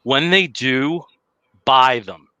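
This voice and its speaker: A talkative man with a New Jersey accent, joking through a casual podcast chat. His voice is bright and forward, and the sound is dry and close with no room echo. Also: medium pitch, slow, clear, resonant tone. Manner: jokey, talkative, laughs often. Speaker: podcast co-host. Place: home room, dim lighting.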